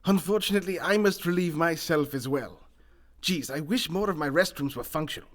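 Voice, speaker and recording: Man doing a grandiose newspaper tycoon, theatrical, slightly high voice, comedy radio play. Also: a little fast, lightly acted. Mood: grandly hospitable. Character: pompous wealthy newspaper boss, middle-aged man.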